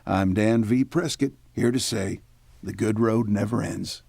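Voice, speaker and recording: A man's voice: fairly low pitch, a little slow, vocal fry, noisy background. An actor playing a folksy, assured male announcer for a radio serial, reading scripted lines. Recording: music behind the voice.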